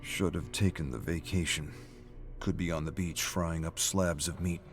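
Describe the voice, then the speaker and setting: A man with a very low voice narrates a scripted dramatic story. His delivery is measured and brooding, and music plays clearly beneath him. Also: steady tone.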